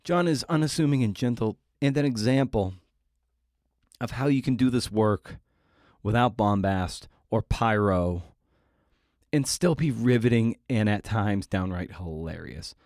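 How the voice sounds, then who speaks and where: A man's voice: lowish voice, unhurried, darkish tone, no echo, clean studio sound. A man hosting a podcast, delivering an informal intro monologue with emphatic phrasing and playful asides. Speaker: podcast host.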